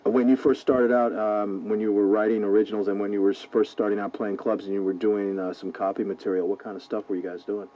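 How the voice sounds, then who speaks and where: A man's voice: on the low side, level tone, fast talker, hardly any pauses, muffled recording. An American man working as a rock radio DJ, presenting and interviewing casually, heard on an old aircheck tape.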